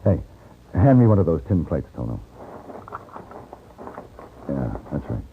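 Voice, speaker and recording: A man's voice: a bass voice, sing-song, unhurried, heavily acted. An actor playing a shrewd, persuasive American gunfighter in an old radio western, assured and wry as he acts and narrates. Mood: curious. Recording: tinny, noisy old radio recording.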